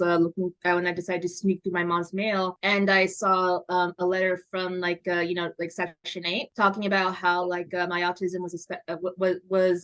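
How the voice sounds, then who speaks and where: Earnest lower-voiced woman in her twenties. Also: a bit bright, rough recording. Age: 20s. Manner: earnest, with stumbles and fillers. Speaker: interviewee. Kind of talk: casual interview answers.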